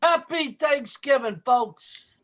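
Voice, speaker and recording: An actor playing a brash, gluttonous neighbor pal who delivers hammy wisecracks in an old-time radio comedy. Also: male voice, very high-pitched, animated, slow pace, sharp tone, a little hiss or hum, full character voice. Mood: hearty.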